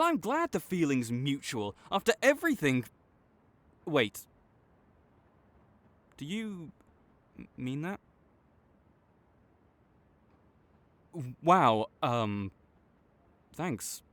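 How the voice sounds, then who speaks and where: A man playing a proud supervillain in a dramatic audio roleplay, grudging and exasperated, with a secret soft spot that makes him flustered. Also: mid-range voice, no room sound. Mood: exasperated confession, then flustered, touched. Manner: tsundere, grudging, exasperated, stammering when sincere.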